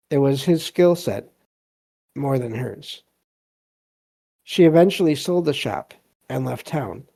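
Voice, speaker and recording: A man hosting a podcast, reading stories aloud and commenting casually in a halting, reflective way. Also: mid-range voice, gravelly, mellow. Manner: halting, hesitant, reflective delivery. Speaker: podcast host.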